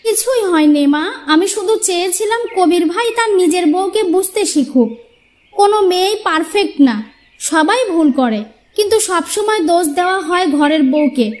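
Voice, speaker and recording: A woman's voice: very high-pitched, lively intonation, moderate pace. A woman playing a crafty, teasing young housewife and daughter-in-law in a cartoon fairy tale. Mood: playful.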